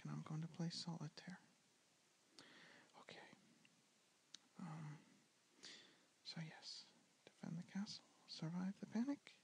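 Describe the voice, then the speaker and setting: A young man with a soft, gentle voice talks a listener through a board game, often sinking into a breathy whisper. His voice sits a little high for a man, and he hesitates with ums as he goes. It sounds picked up by a handheld camera mic. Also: rough recording. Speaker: ASMR board game teacher. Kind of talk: soft-spoken ASMR tutorial, often whispering.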